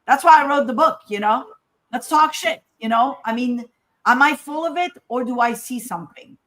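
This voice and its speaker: A woman's voice: high voice, normal speed, a bit bright, low-quality recording. A woman from Morocco speaking as a scientist in an informal talk, emphatic and conversational, often asking rhetorical questions. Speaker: scientist giving a conference talk.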